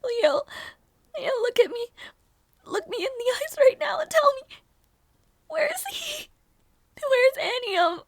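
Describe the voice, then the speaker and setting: A woman acts out a gentle young princess in an audio roleplay, with a very high, delicate voice. She speaks slowly and hesitantly, as an anxious, tender-hearted sister. The sound is dry and intimate. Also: big pitch swings, no echo, heavily acted. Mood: pleading, tearful. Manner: soft, hesitant, halting delivery. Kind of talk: audio roleplay drama, intimate one-sided dialogue.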